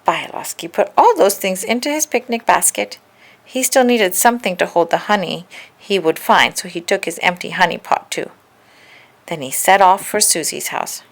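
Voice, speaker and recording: A woman reading a children's story aloud in an expressive, deliberate narrating style. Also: fairly low pitch, gravelly. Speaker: storybook reader.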